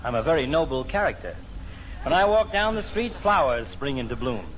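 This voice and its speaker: A man playing a droll, grandiloquent, sharp-tongued older doctor in 1940s radio comedy style. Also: mid-range voice, big pitch swings, brisk, dull audio. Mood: mock-pompous. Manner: mock-pompous, grandiloquent. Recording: noisy old radio recording.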